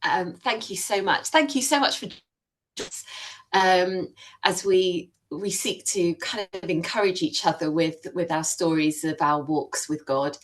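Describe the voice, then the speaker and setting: A woman with a slightly low voice leads a church testimony interview. She is encouraging and affirming, and she pauses often on fillers. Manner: warm encouraging, many fillers.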